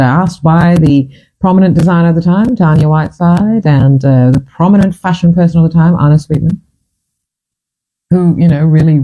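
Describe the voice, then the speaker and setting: A woman's voice: low voice, mellow, poor audio. A woman from Fiji in her fifties, hosting a casual chat and telling her stories in a chatty, dramatic, self-mocking way. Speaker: host.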